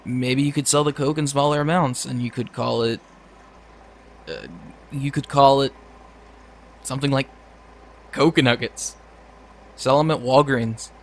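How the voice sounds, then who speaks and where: A man's voice: medium pitch, steady tone, unhurried, long pauses, a little hiss or hum, natural voice. An actor playing a cocky, naive young man who wisecracks in a casual, goofy, earnest way in an audio drama.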